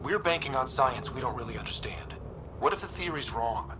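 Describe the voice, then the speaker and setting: An actor playing an earnest, quick-witted young male space explorer in a video game cutscene. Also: mid-range voice, lively intonation, crowd noise, close to an everyday voice. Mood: earnest, uneasy.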